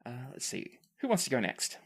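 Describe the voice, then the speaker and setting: A podcast host and game master chatting casually, earnest and appreciative in manner. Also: brisk. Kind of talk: casual podcast chat and sign-off. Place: remote group recording over a call.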